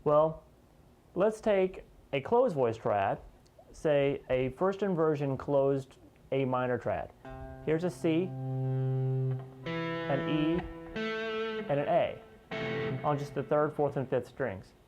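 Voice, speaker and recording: A man teaching guitar in an informal, conversational way, explaining step by step and pausing as he points out each note. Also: fairly high pitch, expressive, darkish tone. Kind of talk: informal instructional lesson, demonstrating step by step.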